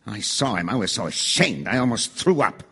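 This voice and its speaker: A man's voice: lowish voice, very animated, fairly quick, bright, forceful tone, husky, exaggerated voice. A man playing a bitter, pushy middle-aged father serving on a jury, in an audio theatre drama.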